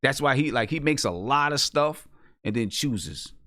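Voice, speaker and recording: A man's voice: medium pitch, expressive, normal speed, bright, forceful tone, no room sound, studio quality. An American man hosting a casual live chat show, speaking conversationally and repeating phrases for emphasis. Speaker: host of a hip hop music review show.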